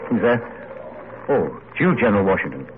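An actor playing an earnest, idealistic army adjutant and writer in solemn, oratorical old-time radio style. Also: male voice, on the low side, big pitch swings, a little fast, phone-quality sound, soft background music. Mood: grave, respectful. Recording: noisy, band-limited vintage radio recording.

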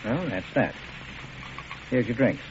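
An actor playing a polite, coolly murderous doctor obsessed with research, speaking with genteel precision in an old radio drama. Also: male voice, mid-range voice, animated, slow pace. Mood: politely compliant. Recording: muffled, noisy old radio recording.

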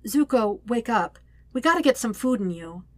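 A woman's voice: fairly high pitch, lively intonation, normal speed, no room sound, lightly acted. Casting: woman to play a kind but flustered teen boy for story narration, slangy and hesitant, with swooping intonation. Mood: gently coaxing. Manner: rambling, hesitant, casual slangy talk. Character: flustered, rambling, awkward teenage boy, sarcastic and kind.

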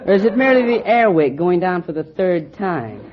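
An actor playing a haughty, smug young nephew who loves big words, in a 1940s radio comedy. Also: male voice, sits high, very animated, moderate pace. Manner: haughty, big-word vocabulary. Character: snooty precocious boy nephew, smug. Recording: dull old radio broadcast, noisy.

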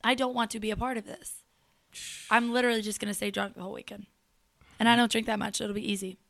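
A young woman co-hosting a podcast, talking candidly and chattily in a casual, storytelling way. Her voice sits at an ordinary pitch for a woman. The recording is dry and close. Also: level tone, fast, creaky, fairly bright tone, no room sound. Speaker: podcast co-host. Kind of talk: casual chat.

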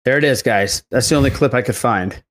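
A man's voice: medium pitch, a little fast, fairly bright tone. A man hosting a casual pop culture podcast, talking in an informal, jokey, rambling way. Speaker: podcast host.

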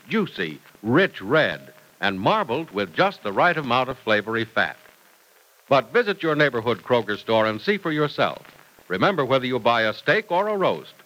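A male announcer from the 1940s radio era with a mid-pitched, very animated voice. His scripted delivery is emphatic and polished, like a sponsor pitch, on an old broadcast recording. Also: rough recording.

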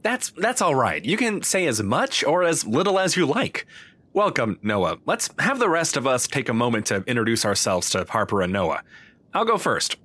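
A man's voice: medium pitch, very animated, normal speed, a bit bright. A man playing an upbeat, welcoming counselor who leads a support group in an audio drama, reassuring and encouraging.

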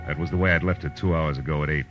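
A deep-voiced hard-boiled American detective. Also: male voice, fairly even intonation, rapid-fire, highs cut off. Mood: brisk, wry. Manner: tough, terse, sardonic. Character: hard-boiled male private detective, wry and blunt. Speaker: lead actor and narrator. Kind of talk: 1940s radio detective acting, first-person narration. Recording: old radio recording, music behind.

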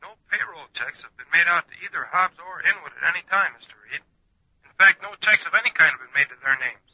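A man's voice: on the high side, expressive, fast talker, breathy edge, no echo. A man playing a deferential, precise payroll clerk speaking through a telephone filter in vintage radio drama. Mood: dutiful. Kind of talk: 1940s radio acting. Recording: dry, muffled phone voice, old radio.

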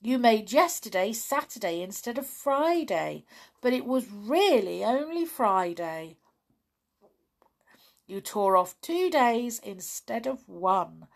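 A woman voicing an excitable little male pixie in a children's story, squealing with glee. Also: a little high, takes it slowly, creaky, voice pushed up, exaggerated voice. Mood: gleeful. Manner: squealing, gleeful.

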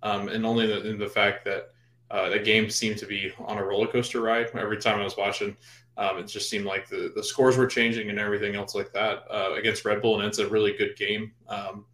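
A man co-hosting a casual sports podcast, thinking out loud in loose, rambling sentences. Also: lowish voice, level tone, a little fast. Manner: rambling, full of fillers and restarts. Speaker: podcast co-host. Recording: roomy echo.

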